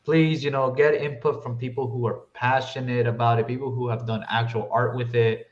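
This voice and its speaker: Guy hosting a casual movie podcast, average pitch, kinda muffled lo-fi sound with room echo. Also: flat delivery, a little fast. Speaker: podcast host. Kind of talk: casual film review chat. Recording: dull lo-fi mic, roomy echo.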